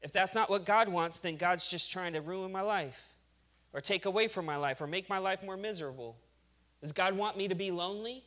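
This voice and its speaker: A man's voice: high-pitched, brisk, fairly bright tone. A male pastor giving a conversational sermon, acting out the people he talks about. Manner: conversational preaching, acts out complainers.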